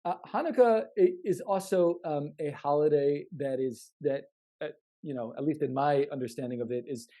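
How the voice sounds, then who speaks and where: Higher-pitched man, halting and earnest. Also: dark tone. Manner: halting, repeats words, earnest. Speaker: podcast co-host. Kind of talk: casual podcast discussion.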